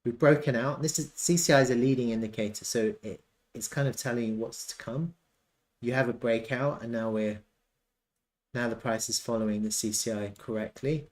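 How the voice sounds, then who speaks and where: Man, mid-pitched voice, livestream analyst, explanatory, repeats for emphasis, checks in with listeners. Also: steady tone, normal speed. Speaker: live stream host and trading educator. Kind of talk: live chart analysis commentary.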